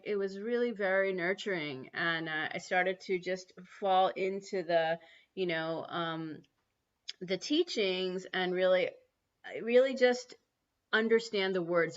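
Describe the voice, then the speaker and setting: A woman's voice: fairly low pitch, measured pace. A woman from New City, hosting a spiritual livestream and talking earnestly with many ums and restarts. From New York City. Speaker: livestream host. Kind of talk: spiritual livestream talk and guided prayer. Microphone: computer mic on a livestream. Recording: dull lo-fi livestream audio.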